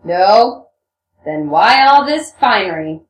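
A woman's voice: medium pitch, animated, slow pace. A woman voicing a sly, irritable man in a storybook reading, taunting and grumbling. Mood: sneering. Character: sly, sneering, irritable man from a Russian novel. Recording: roomy, distant microphone, noisy hum.

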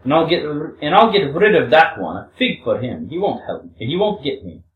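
A woman's voice: medium pitch, big pitch swings, normal speed, phone-quality sound, voice pushed up, natural voice. A woman playing a volatile, wounded young Russian woman in a storybook reading, with theatrical exclamations. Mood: wild, defiant. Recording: roomy, distant microphone.

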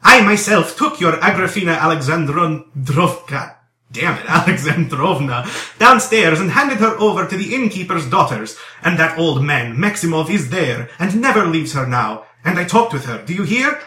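A kindly old police commissioner, as a male narrator acts him out, rambles on in a fatherly, earnest way. The voice is animated and bright, flustered and coaxing, recorded in an echoing room for a storybook. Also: a little high, moderate pace, pitched above the performer's own voice. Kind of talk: dramatized audiobook character dialogue. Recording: roomy echo.

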